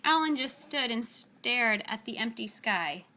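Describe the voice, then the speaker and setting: A woman reading a children's story aloud with expressive, deliberate, dramatic phrasing. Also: on the high side.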